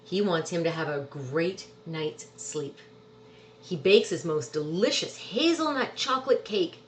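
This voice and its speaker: A woman's voice: fairly low pitch, slight background noise. A woman reading a children's storybook aloud expressively, drawing out words for emphasis. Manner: animated, drawn-out words for emphasis. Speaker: storybook reader. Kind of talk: expressive children's picture book read-aloud.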